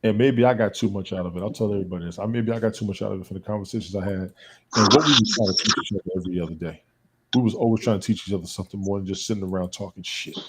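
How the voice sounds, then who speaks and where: A man's voice: deep voice, very fast, rough recording. A man chatting casually as a guest on a live show, emphatic and repeating his points. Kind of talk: casual chat.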